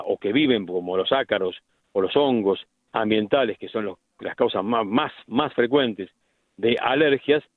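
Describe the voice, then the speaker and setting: A middle-aged man with an Argentine accent, a medical specialist explaining things deliberately in a radio interview, with frequent restarts. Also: lowish voice, dry recording. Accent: Argentine Spanish. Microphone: phone line. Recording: tinny phone line, dry.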